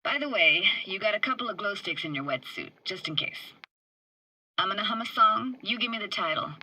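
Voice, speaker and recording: A woman's voice: mid-range voice, animated, fairly quick, sharp tone, low-quality recording. A woman playing a streetwise young tech in a cyberpunk video game, guarded but tender, with casual, clipped slangy phrasing. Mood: calm, focused. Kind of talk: naturalistic video game voice acting.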